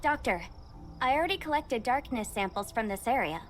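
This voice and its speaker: Actress as capable young female field researcher for game dialogue: higher register, clear and attentive, helpful explainer. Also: lively intonation, fairly quick, slight background noise, natural voice. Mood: curious, attentive. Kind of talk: scripted video game dialogue.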